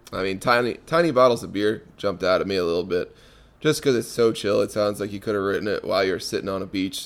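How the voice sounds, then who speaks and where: A laid-back man hosting a podcast and chatting easily with his guest. His voice sits fairly low, and he fills his pauses with ums as he talks his way through each question. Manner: relaxed, many ums and restarts. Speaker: podcast host. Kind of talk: casual interview chat.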